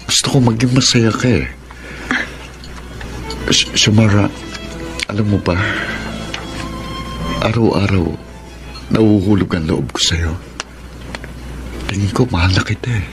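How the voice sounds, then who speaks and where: A deep male voice over background music: a smitten Filipino governor in a radio romance. He is earnest, generous and insistent in his courting. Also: measured pace, long pauses. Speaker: actor in a radio drama. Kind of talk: Filipino radio drama acting. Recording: music under the voice.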